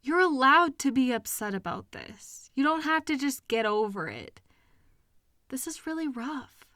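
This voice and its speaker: A woman playing a caring, protective partner in an intimate comfort audio roleplay, speaking gently and earnestly in a reassuring, conversational way with hesitant pauses. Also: high voice, animated, no echo, clean studio sound, full character voice. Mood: soothing. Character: caring, protective partner consoling a hurting loved one.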